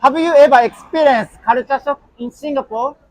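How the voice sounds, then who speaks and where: A man's voice: very high-pitched, moderate pace, poor audio. A Japanese man with a Japanese accent, interviewing people on the street with short polite questions and many thank-yous. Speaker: street interviewer and channel host.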